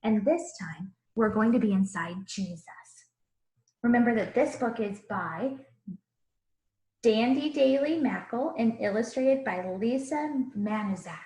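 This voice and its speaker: A woman's voice: on the high side, measured pace, darkish tone. A woman kindergarten teacher reading a picture book aloud, expressively, with simple explanations for young children. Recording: roomy, echoing room sound.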